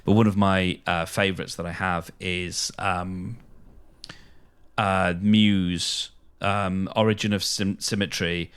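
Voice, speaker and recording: A man's voice: deep voice, level tone, slow pace, fairly bright tone, clear, resonant tone. A British man co-hosting a podcast in casual chat, speaking hesitantly with repeated words and trailing thoughts. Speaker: podcast co-host.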